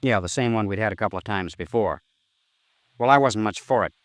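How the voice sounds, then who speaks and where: A man plays a young American murder suspect in a vintage radio crime drama. His voice is low, and he answers in a guarded, touchy, defensive way. The restored 1950s recording sounds close and dry. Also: brisk, no echo, natural voice. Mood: reluctant, explaining. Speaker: radio drama supporting actor.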